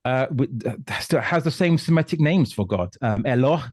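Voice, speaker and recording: Man, medium pitch, emphatic, stop-start phrasing with repeated words, explanatory discussion style, dry recording. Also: expressive, moderate pace, a little mellow, dry recording. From Portugal. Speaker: guest commentator on religion and politics.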